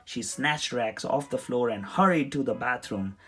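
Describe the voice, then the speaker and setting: A man reading a children's story aloud in an expressive, dramatic storytelling manner. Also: mid-range voice, moderate pace, slightly rough. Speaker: storybook reader. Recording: music behind the voice. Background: music.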